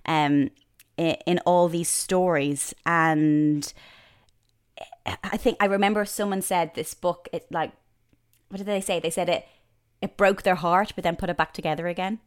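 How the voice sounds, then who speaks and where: A woman's voice: fairly low pitch, steady tone, unhurried, clean tone. A woman in her thirties with an Irish accent, chatting casually as an interview guest, with lots of fillers and restarts. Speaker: presenter and author, guest.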